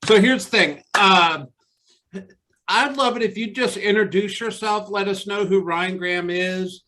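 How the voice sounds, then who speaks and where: A man hosts an interview over a video call in an upbeat, conversational way. His voice is a little higher than most men's, and he leads his guest along with easy questions. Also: measured pace, pushed and bright. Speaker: interview host.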